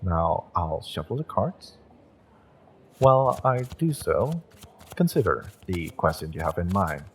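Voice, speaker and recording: A man playing a gentle, encouraging magick shop owner and tarot reader in an intimate audio roleplay, speaking in a measured, mystical, reassuring way. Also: deep voice, very animated, slightly rough, exaggerated voice. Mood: calm, guiding.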